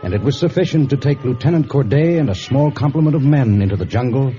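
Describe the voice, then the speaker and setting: A man narrating a scripted old-time radio drama with deliberate storytelling delivery. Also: medium pitch, expressive, mellow. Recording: dull vintage radio recording. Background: faint music.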